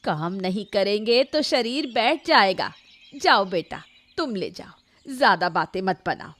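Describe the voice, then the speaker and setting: A woman's voice: mid-range voice, lively intonation, a little slow, fairly bright tone. A woman voicing a lazy, complaining elderly mother who scolds her grown son in a Hindi cartoon story. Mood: dismissive, excusing. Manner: scolding, complaining, excuse-making.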